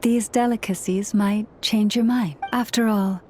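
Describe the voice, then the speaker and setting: An actress playing a calm, dignified young priestess in a game, speaking in a formal, gracious, measured manner. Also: female voice, medium pitch, slight background noise, natural voice. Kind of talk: game voice acting.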